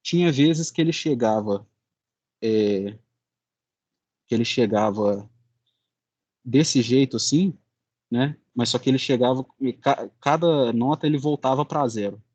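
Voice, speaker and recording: A young man speaks with a Brazilian Portuguese accent at a medium pitch. He explains his work like a student presenting, colloquial and a little halting. The sound is dry, with very little room in it. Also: a little mellow, dry recording. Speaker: student presenting a final project. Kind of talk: informal student presentation and discussion.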